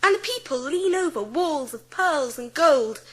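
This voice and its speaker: A woman's voice: high-pitched, expressive, measured pace, fairly bright tone. A woman playing a kind, polite, earnest young schoolgirl in a dramatised children's audiobook. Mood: tender, dreamy. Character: kind, composed, imaginative seven-year-old schoolgirl.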